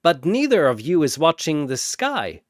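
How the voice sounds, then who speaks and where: A man puts on a female cyborg warrior from a battle story. The voice is lifted in pitch, cool and deliberate, as she calmly sizes up her foes. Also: dry recording, clean studio sound. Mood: calm warning.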